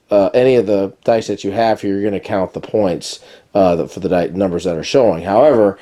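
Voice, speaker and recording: Board game reviewer, male, low and warm, a touch raspy with a cold, casual and chatty. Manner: relaxed, chatty explaining with many fillers. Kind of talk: casual how-to-play review.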